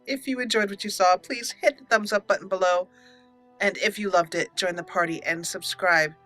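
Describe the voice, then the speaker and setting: Female gaming host, bright tone, expressive story-style commentary over faint music. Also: mid-range voice, moderate pace. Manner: expressive, talks to the game characters. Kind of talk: roleplay game commentary with storytelling narration.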